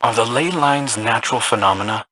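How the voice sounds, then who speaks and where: An actor playing an earnest, wry male hero in a sci-fi video game, with a husky voice and a casual, slightly awkward manner. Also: mid-range voice, brisk, pushed and bright, slight background noise, lightly acted, rough recording. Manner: casual, questioning, a little awkward.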